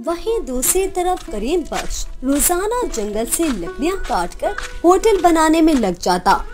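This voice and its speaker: A woman narrator reading a scripted cartoon story in storybook style. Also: high-pitched.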